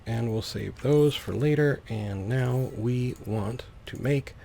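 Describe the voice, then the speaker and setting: A man's voice: mid-range voice, slow, gravelly, dark tone, slight background noise. A man giving casual, easygoing gameplay commentary, talking through his actions step by step. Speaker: let's play host.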